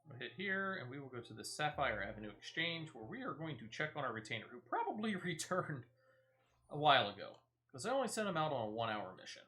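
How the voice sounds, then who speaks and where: Male gaming streamer, bright forward tone, animated pitch, chatty and playful, talks through each step as he plays. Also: fast talker. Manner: chatty, thinks aloud. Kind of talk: casual gameplay commentary.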